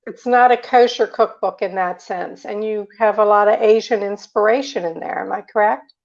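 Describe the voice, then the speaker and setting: A woman's voice: mid-range voice, normal speed, creaky, muffled recording. A woman hosting a show, asking questions in a conversational interviewing style. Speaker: host, interviewer.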